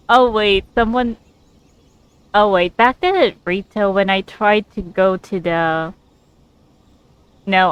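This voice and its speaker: A gamer comments casually in a smooth, unhurried voice. The delivery is halting and self-correcting, and the sound is dry, with little room echo. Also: mid-range voice, fairly bright tone, highs cut off.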